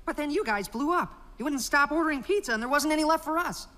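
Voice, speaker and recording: An actor playing an indignant, goofy young mutant turtle in a cartoon, speaking in a whiny, emphatic way. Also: male voice, very high voice, expressive, quick pace, sharp tone, full character voice. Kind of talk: animated cartoon acting. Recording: long reverb, faint music behind.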